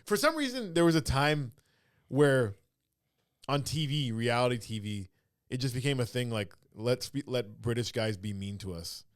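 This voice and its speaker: A man from Canada hosting a casual podcast chat, quick and joking, often dropping into impressions. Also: medium pitch, animated, no room sound, clean studio sound. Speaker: podcast host.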